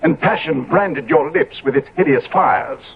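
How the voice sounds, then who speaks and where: A man's voice: fairly high pitch, moderate pace, gravelly, a crowd in the background. A man playing a decadent, cynical Victorian lord in a theatrical, grandly persuasive way, in 1970s radio drama style. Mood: fervent, persuasive. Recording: tinny, phone-like old radio recording.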